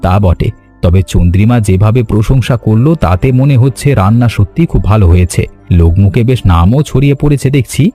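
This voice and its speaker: A man voicing an earnest younger brother, an office worker in an animated story, speaking emphatically. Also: on the low side, brisk, soft background music, natural voice. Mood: curious.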